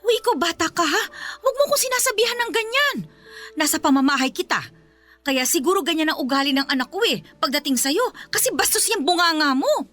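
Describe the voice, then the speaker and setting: A domineering middle-aged Filipino mother-in-law in a radio drama. Her delivery is animated and scolding, full of exasperated interjections and swoops, over faint music. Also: extremely high pitch, fairly quick, full character voice. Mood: indignant, scolding.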